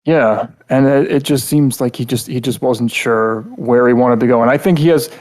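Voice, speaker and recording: A man's voice: medium pitch, moderate pace, a little mellow. A man co-hosting a podcast, giving casual critique in a rambling, blunt way with lots of verbal fillers. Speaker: podcast co-host.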